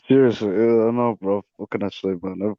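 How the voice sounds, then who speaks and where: A man's voice: fairly low pitch, fairly even intonation, moderate pace, no room sound. Young man, casual joking chat, dry close sound, muffled lo-fi audio. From England. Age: 20s. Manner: laid-back, joking, lots of filler.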